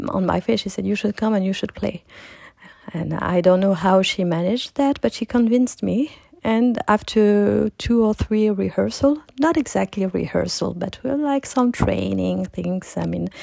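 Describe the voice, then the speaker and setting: A middle-aged woman with a French accent hosts her own podcast, speaking reflectively and often pausing to restart a thought. Her voice is mellow and slightly gravelly. It is recorded close and dry, with no room sound. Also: mid-range voice, dry recording. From France. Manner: reflective, frequent restarts and soft asides. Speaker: podcast host. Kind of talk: personal storytelling monologue.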